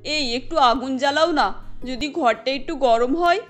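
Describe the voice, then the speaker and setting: A woman's voice: sits high, unhurried, faint music behind. A woman voicing a poor village wife and mother in a cartoon, speaking in an anxious, fretting way. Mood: fretful.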